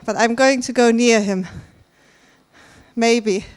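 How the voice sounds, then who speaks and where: Woman, conference host, fairly high voice, chatty, talks to the crowd. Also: slow pace, smooth. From Germany. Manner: chatty, laughing, addresses the audience directly. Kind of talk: conference stage address. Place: large conference hall.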